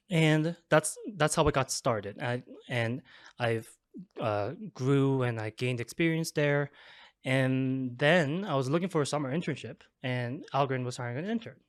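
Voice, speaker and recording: A young man from South Korea, a guest talking casually in an interview, relaxed and chatty. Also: medium pitch, no room sound, studio quality. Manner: relaxed, chatty, frequent fillers and laughs. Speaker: guest, developer relations advocate.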